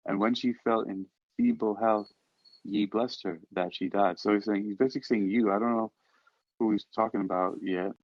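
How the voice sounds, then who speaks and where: A man's voice: fairly low pitch, steady tone, normal speed, dry recording. A man chatting casually as a podcast host about poetry, questioning things in a playful, mock-exasperated manner. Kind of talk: casual chat discussing and reading poetry. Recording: dull lo-fi sound.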